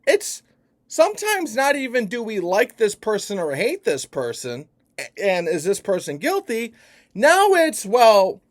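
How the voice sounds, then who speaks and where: A man from Chicago giving an emphatic, profane opinion rant that swings between hushed and loud. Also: high-pitched, huge pitch swings, a little slow. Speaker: vlogger.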